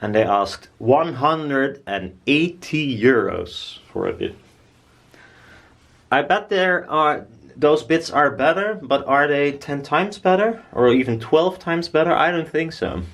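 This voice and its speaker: A man's voice: fairly high pitch, very animated, slow, fairly bright tone. A man talking casually and bluntly as he presents his own DIY build, rambling informally. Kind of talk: casual workshop chat.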